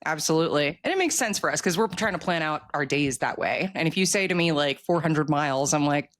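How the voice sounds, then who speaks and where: An American woman in her forties talking casually as an interview guest, telling stories in an animated way. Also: sits low, a little fast, no room sound, studio quality. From United States. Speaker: author guest, military veteran.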